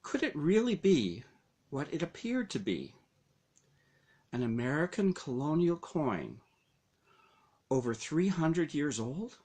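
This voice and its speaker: A man reading his own first-person story aloud as narration, with dramatic, deliberate phrasing. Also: a little high, sing-song, a little mellow, breathy edge.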